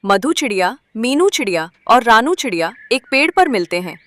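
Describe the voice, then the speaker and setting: A woman's voice: a little high, big pitch swings, normal speed, fairly bright tone, dry recording. A woman narrating a scripted children's story.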